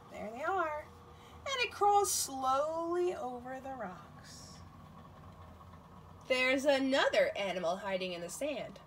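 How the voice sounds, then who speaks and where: A woman's voice: high-pitched, a bit bright, slight background noise. A woman reading a picture book aloud to young children as their teacher, expressively, often putting questions straight to them.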